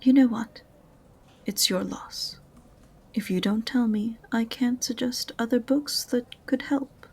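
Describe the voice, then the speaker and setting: A woman's voice: a little high, slow pace, a little mellow, breathy edge, exaggerated voice. A woman playing an aloof, sarcastic witch librarian in a fantasy audio roleplay, prim and condescending in a dry way. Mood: exasperated, dismissive. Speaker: voice actor in amateur audio roleplay.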